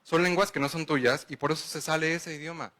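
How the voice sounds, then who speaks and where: A man's voice: on the high side, fairly even intonation, a little fast, pushed and bright. A man with a Mexican accent giving a conference lecture. He explains step by step and checks in with the audience. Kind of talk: conference lecture answering questions.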